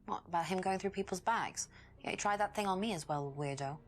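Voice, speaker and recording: A woman's voice: on the low side, a little fast, natural voice. A woman playing a guarded, uneasy young witness in a mystery game, speaking hesitantly and defensively. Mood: guarded, disdainful. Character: guarded young female witness, uneasy former band singer. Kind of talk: acted dialogue in an FMV mystery game.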